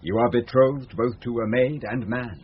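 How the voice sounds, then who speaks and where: Man acting young gentleman, British accent, 1970s radio Shakespeare, very husky, emotional declamation, muffled old broadcast. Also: medium pitch, fairly even intonation, moderate pace, lightly acted. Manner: emotional, declamatory verse delivery. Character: young gentleman, ardent twin brother thought drowned.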